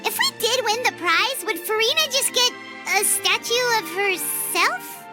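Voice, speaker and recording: Game fairy sidekick: childlike, squeaky, very high, excitable, clear background music. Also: female voice, measured pace. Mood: playful grumbling. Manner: refers to self in third person, exclamatory. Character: small excitable childlike female fairy companion. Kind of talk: video game character acting.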